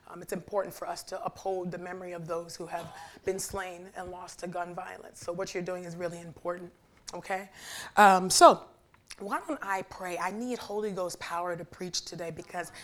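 A young woman with a raspy, hoarse voice, preaching and praying in church and talking easily with the congregation. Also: fairly low pitch, steady tone, moderate pace. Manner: chatty and warm with the congregation, asking for responses. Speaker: guest preacher. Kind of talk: sermon with prayer. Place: church sanctuary.

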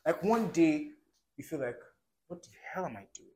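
A man's voice: a little high, animated, normal speed, darkish tone, slight background noise. A man co-hosting a podcast, talking earnestly and rambling, often checking that he is understood. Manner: earnest, rambling, keeps asking "do you understand". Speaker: podcast co-host. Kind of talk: casual podcast conversation.

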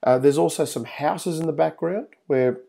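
A man's voice: fairly high pitch, moderate pace, gravelly, mellow. A man hosting an interview, asking long rambling questions with many fillers. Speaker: host. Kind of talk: interview host.

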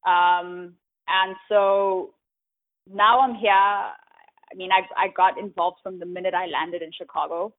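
A woman from Africa, speaking as an interview guest in a thoughtful, casual way, often pausing on "um" and checking in with "right?". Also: lowish voice, fairly even intonation. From South Africa. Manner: thoughtful, many "um"s and "right?" tags. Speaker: guest, tech community co-founder. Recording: tinny phone-like line.

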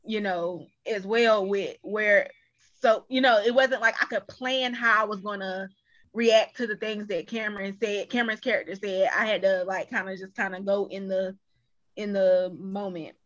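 A woman's voice: medium pitch, steady tone, normal speed, fairly bright tone, dull audio. A woman guest speaking casually and a little hesitantly, thinking aloud as she explains her experience. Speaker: voice actor guest. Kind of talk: casual chat.

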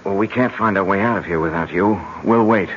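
A man's voice: lowish voice, brisk, natural voice. An actor playing a practical male adventurer on the run, terse and wry, in an old radio drama. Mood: subdued. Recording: dull, faintly noisy old radio.

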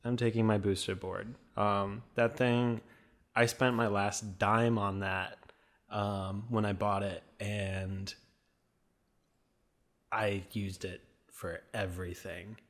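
A young man from the American Midwest with a slight Minnesota accent, a guest talking casually and softly with many fillers. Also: fairly low pitch, level tone, slow. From Midwest USA. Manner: soft-spoken, trailing off, many fillers. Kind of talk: casual interview.

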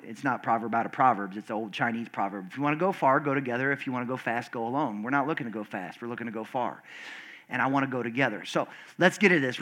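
A pastor preaching a lively sermon to his congregation with call and response, his voice screechy and loud, swinging from big high outbursts to low, quiet stretches with many restarts. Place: church service before a congregation.

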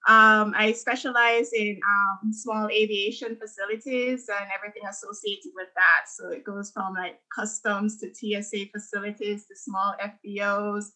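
A woman's voice: mid-range voice, flat delivery, normal speed, hardly any pauses, bright, forceful tone, low-quality recording. A woman in her thirties from Jamaica, a church podcast guest chatting casually about her life, with frequent ums and easy laughs. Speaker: interview guest, architect. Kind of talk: casual interview conversation.

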